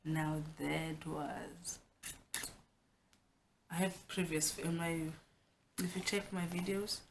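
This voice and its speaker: A young woman with a South African accent and Xhosa as her first language, chatting to her camera at home. Her voice sits low and has a gravelly edge. A faint hiss runs under the recording, and she talks frankly, often restarting mid-sentence. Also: steady tone, slow pace. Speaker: vlogger. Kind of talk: casual personal vlog.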